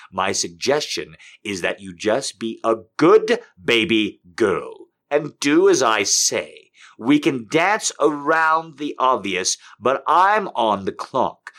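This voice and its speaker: A man voicing a dominant adult man who gives calm, deliberate commands in a low, slow voice. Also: exaggerated voice.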